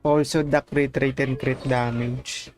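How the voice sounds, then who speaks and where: A man's voice: medium pitch, little pitch movement, measured pace. A man giving casual gameplay commentary as a livestreamer. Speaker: game livestreamer. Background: game music.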